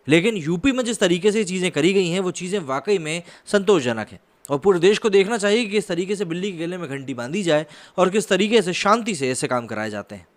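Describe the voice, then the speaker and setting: A man delivering news analysis commentary, partly scripted, in an emphatic, rhetorical manner. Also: high-pitched. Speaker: commentator presenting a video.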